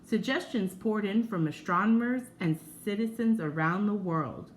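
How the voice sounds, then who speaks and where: A woman reading a children's nonfiction book aloud like a teacher, in an expressive, measured storytelling manner with drawn-out emphasis. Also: medium pitch, a little hiss or hum, poor audio.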